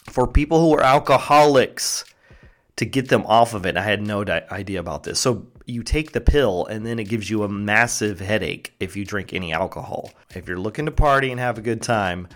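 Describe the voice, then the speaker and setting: A man's voice: on the low side, normal speed, fairly bright tone. Sarcastic rambling male podcaster. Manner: joking, sarcastic, tangent-prone, crude humor; chewing while talking. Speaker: podcast host. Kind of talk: rambling solo podcast monologue.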